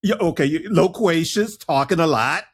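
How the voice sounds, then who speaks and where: A male podcast host with a voice pitched a little high for a man. He keeps the conversation light and teasing as he steers his guest toward personal questions. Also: moderate pace, slightly rough. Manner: playful, teasing interviewer. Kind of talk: casual interview chat.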